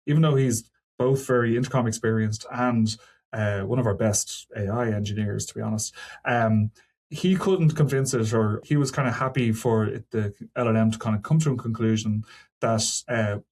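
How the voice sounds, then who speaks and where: A man's voice: fairly low pitch, moderate pace, a little mellow, husky. A man speaking as a podcast guest and senior engineer, explaining technical work in an informal, discursive way with frequent asides. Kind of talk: casual technical interview talk.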